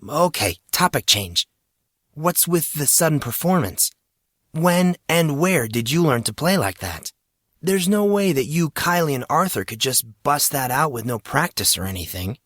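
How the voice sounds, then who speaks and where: A man's voice: on the high side, sing-song, a little slow, a bit bright, dry recording, studio quality, voice pushed up, full character voice. A man voicing a flustered, defensive teenage schoolboy in an audiobook story, speaking in indignant casual slang.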